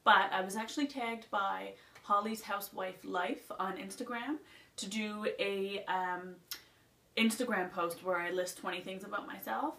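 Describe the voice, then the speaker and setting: A young woman with a Nova Scotian accent chats and rambles through a list, sitting down to talk in a casual home vlog. Her voice sits at a middling pitch. The sound is roomy and a little distant, as if caught by a camera across the room. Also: steady tone, measured pace, sharp tone. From Nova Scotia, Canada. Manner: rambling, chatty, reading off a list. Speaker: beauty vlogger. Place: indoors at home, seated.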